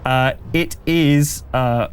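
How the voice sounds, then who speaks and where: A man's voice: medium pitch, drawn-out words, clean tone. A man running a tabletop game as game master, narrating and talking through the rules in a conversational, think-aloud way.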